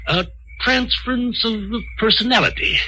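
An actor playing a timid, fussy doctor with a hesitant, word-repeating delivery, in old-time radio drama style. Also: male voice, very high voice, a little slow, pushed and bright, exaggerated voice. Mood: fussy, reassuring. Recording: noisy old radio recording.

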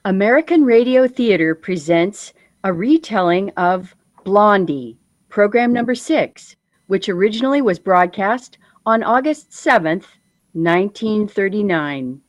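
A woman announcer with a medium-pitched voice. She delivers a scripted introduction in vintage radio style. The sound is very dry, free of room echo. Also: lively intonation, measured pace. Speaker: programme announcer.

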